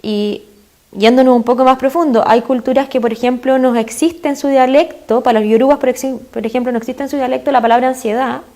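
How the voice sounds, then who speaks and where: A woman's voice: sits high, steady tone, a little fast, a little mellow. A woman with a Chilean accent, a guest expert in an interview, explaining earnestly with frequent fillers. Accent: Chilean Spanish.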